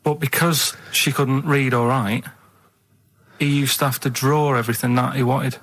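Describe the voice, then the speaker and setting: A man's voice: medium pitch, steady tone, moderate pace, a bit bright. A man with a Manchester accent chats casually on radio, rambling along in an earnest, matter-of-fact way as producer and co-host. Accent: Northern English, Manchester. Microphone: radio studio microphone.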